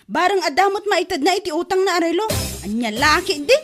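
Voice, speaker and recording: A woman's voice: very high voice, expressive, brisk, over loud music. A woman playing an irritated, nagging lender who scolds people over debts in a comedy radio drama. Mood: scolding, exasperated. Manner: scolding, exasperated, complaining.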